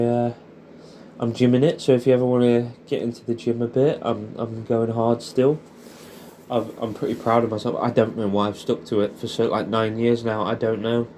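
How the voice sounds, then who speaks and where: A man's voice: mid-range voice, warm tone, slight background noise, low-quality recording. A young man with an English accent speaking in a casual, intimate, rambling and hesitant monologue, as if catching up with an old friend. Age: young adult. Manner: rambling, hesitant, informal with swearing.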